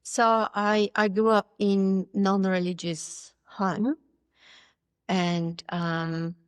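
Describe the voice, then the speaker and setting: A middle-aged woman with a Polish accent, speaking Polish as her first language, is a guest telling her life story in a thoughtful, halting way over a video call. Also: mid-range voice, little pitch movement, clear, resonant tone, no echo. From Poland. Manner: thoughtful, halting, with restarts and fillers. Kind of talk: personal story interview.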